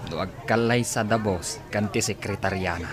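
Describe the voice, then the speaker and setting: A man's voice: fairly low pitch, moderate pace, close to an everyday voice. A Filipino man playing a scheming, deferential underling in a radio drama. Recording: radio drama over loud background music.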